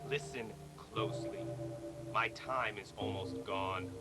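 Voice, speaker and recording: A man voicing a mournful, regretful ghost in chains who warns gravely and solemnly in a storybook read-along. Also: lowish voice, over loud music, pitched below the performer's own voice. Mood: solemn warning. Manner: grave, slow, wailing, solemn.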